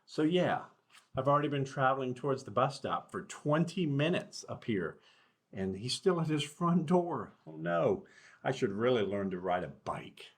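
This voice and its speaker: A worried male sloth from a picture book, read by a man, with a husky American voice. He is slow, anxious and pokes fun at himself. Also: medium pitch, sing-song, pitched above the performer's own voice. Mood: exasperated. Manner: worried, self-deprecating.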